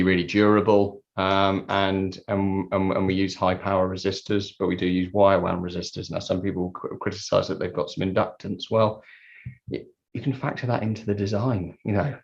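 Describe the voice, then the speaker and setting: A man giving a conversational technical explanation as an interview guest, halting and repeating words as he thinks. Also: low voice, vocal fry. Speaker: interview guest, loudspeaker designer. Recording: dull lo-fi sound.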